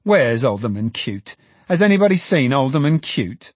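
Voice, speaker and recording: A man voicing an agitated, secretive gentleman secretary in an audiobook, speaking gravely and deferentially. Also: fairly low pitch, a little slow, no room sound. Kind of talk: dramatized audiobook character voice.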